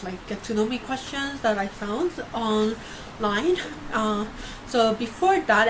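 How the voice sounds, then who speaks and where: A young woman who grew up in Korea and New York, chatting casually to camera in a vlog, with lots of fillers and restarts. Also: mid-range voice. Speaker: YouTuber. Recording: noisy home recording.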